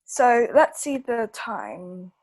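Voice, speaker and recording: A woman with a high voice takes her time as she speaks to a young learner. Her style moves between casual tutoring chat and children's storybook reading, at a slow pace. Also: very animated, darkish tone.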